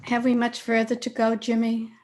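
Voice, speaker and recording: A woman's voice: fairly high pitch, monotone, moderate pace, close to an everyday voice. A woman playing a sweet, affectionate young fiancée in an old-time radio drama. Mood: curious, teasing. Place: indoors, recorded over a video call. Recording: faintly noisy home video-call recording.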